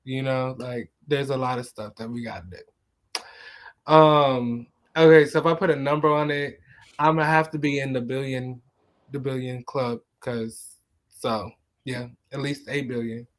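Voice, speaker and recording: A man's voice: mid-range voice, fairly even intonation, a little slow. A man in his thirties, a guest on a livestream chat, answering in a hesitant way that trails off. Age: 30s. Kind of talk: casual chat.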